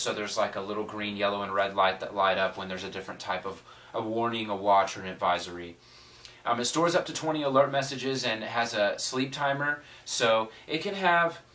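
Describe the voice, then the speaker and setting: Casting: American male amateur reviewer, lowish bright voice, informal and explanatory, recorded a little off-mic. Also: distant mic. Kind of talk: unscripted product review talk.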